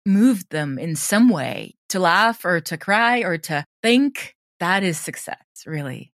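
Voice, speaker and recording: Female interviewee, deep register. Conversational, emphatic, informal delivery with a very dry studio sound. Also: animated, slow, a bit bright, no room sound. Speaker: guest, audio drama creator.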